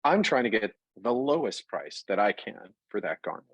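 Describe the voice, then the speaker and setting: Husky low-voiced man, animated. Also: normal speed, no room sound, muffled recording. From Puerto Rico. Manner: animated, talks through examples with self-corrections. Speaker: interview guest, fashion product executive. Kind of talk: conversational interview.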